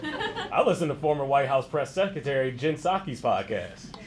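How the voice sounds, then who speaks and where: A woman's voice: extremely low pitch, wildly swooping pitch, normal speed, exaggerated voice. Woman as a wildly swooping, cheeky bar worker. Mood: casual. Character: casual, cheeky bar employee. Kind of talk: live comedy table read. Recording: noisy live stage.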